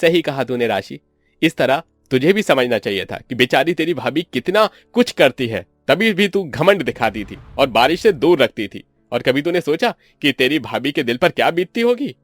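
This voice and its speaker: A man's voice: mid-range voice, big pitch swings, moderate pace, no echo, faint music behind. A man voicing male relatives in a cartoon family drama, insistent and plain-spoken. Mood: reproving.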